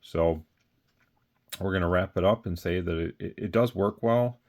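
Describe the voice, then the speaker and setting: A man's voice: sits low, normal speed, a little mellow. A man giving a casual, unscripted product rundown as a seller, plainspoken and rambling. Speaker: radio seller presenting a product. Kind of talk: casual off-the-cuff product talk.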